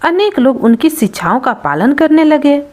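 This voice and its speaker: A woman's voice: sits high, very animated, normal speed, darkish tone. A woman narrating a scripted folktale as a storyteller.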